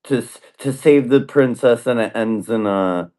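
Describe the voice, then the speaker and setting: A man chatting casually and dictating a story prompt, halting as he thinks aloud. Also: mid-range voice, a little mellow.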